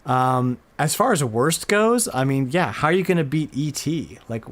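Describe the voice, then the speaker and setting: A man's voice: mid-range voice, expressive, moderate pace. A man hosting a podcast in an informal, enthusiastic, slangy way, chatting casually about retro games. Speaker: podcast host.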